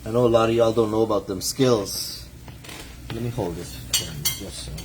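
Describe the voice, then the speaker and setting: A man's voice: on the low side, normal speed, background noise. A man with a Guyanese accent chatting upbeat while he cooks in a home kitchen, talking to viewers. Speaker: guest chef. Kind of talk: casual cooking chat.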